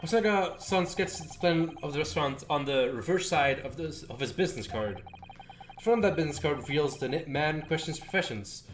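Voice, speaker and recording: A man's voice: a little high, expressive, a little fast, a little hiss or hum. A man livestreaming a visual novel, reading its dialogue aloud in a theatrical way. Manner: theatrical, acting out characters while reading. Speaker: streamer.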